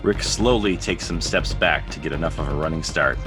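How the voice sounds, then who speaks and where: A man's voice: a bass voice, normal speed, music in the background. A man narrating scripted stage directions as the narrator of a drama reading.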